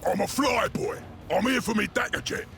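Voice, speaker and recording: A man voicing a surly, cocky ork fighter pilot in a video game, curt and impatient, with a gruff Cockney English voice. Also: sits high, moderate pace, fairly bright tone, faint music behind. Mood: dutiful, curt. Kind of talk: video game character acting.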